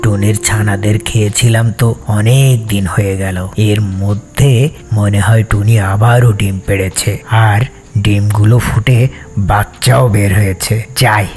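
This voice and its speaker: A man playing a wicked, greedy, scheming fox in a cartoon fable, with a menacing, taunting, drawn-out delivery. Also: fairly low pitch, steady tone, a little mellow, faint music behind, lightly acted.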